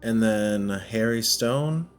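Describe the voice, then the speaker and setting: A man's voice: fairly low pitch, slow pace. A man giving casual let's play commentary, thinking aloud and often questioning himself as he goes. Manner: musing, self-questioning. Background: background music.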